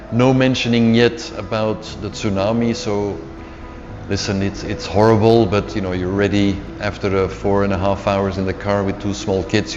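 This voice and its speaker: Dutch-speaking man, low voice, long reverb. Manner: halting, repeats words and restarts. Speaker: author. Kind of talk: casual spoken account. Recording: dull sound with long reverb and faint music.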